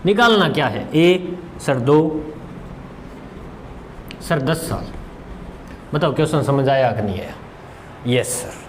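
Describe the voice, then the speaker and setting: A man's voice: mid-range voice, sing-song, takes it slowly, long pauses, slight background noise, rough recording. A man teaching informally, repeating phrases for emphasis and answering his own questions as students would. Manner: mixes English terms into Hindi. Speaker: maths teacher. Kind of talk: informal exam-prep lesson.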